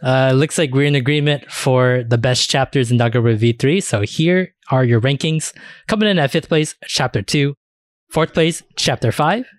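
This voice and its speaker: A man's voice: mid-range voice, normal speed, dry recording, clean studio sound. A man hosting a podcast in casual chat about anime and games, swearing freely as he talks. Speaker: podcast host.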